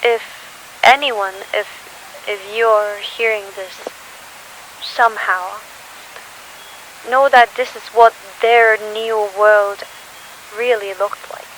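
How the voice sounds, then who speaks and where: A woman playing a weary, sorrowful teenager hiding in post-apocalyptic ruins, speaking a halting, solemn audio diary. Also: medium pitch, steady tone, fairly bright tone, low-quality recording. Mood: quietly defiant. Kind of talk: scripted audio diary monologue. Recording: hissy recording with faint noise.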